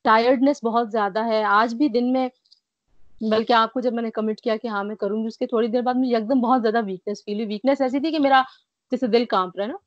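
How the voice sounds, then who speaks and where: A woman's voice: on the high side, steady tone, fast talker, dry recording. Breathless woman on a dull video call. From Pakistan. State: short of breath, tired; mild cough. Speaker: guest recovering from covid. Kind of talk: remote interview. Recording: dry, dull lo-fi call audio.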